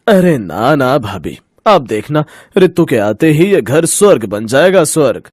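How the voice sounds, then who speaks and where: A man's voice: medium pitch, big pitch swings, unhurried, darkish tone, dry recording, clean studio sound. A man playing a worried middle-aged husband and father in a cartoon story, fretful and emphatic. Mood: proud. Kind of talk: Hindi cartoon moral story acting.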